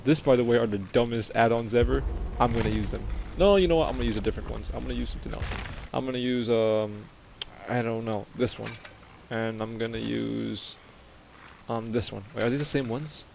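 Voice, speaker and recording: A man with a deep voice he pushes louder, giving excitable, teasing commentary while playing a game. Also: fairly quick, creaky, slight background noise. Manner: excitable, loud, teasing teammates. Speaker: gamer. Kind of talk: casual gaming commentary.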